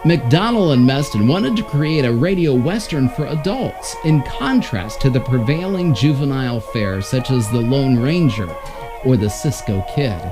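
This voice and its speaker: A man's voice: mid-range voice, animated, a little slow. A man hosting and reading scripted narration in a presenter's style. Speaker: host. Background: music.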